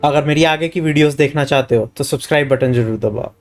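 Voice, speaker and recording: Fast-talking man streaming a game. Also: on the high side. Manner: informal, mixes English into speech. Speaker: gaming streamer, host. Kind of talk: casual game-stream commentary. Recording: faint game music behind. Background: faint game music.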